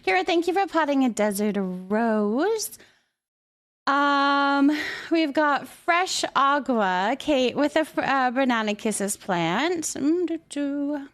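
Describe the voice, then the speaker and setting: High-voiced, bubbly woman live streamer. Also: lively intonation, slow, fairly bright tone. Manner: bubbly, affectionate, chatty. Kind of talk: casual livestream chat, greeting viewers.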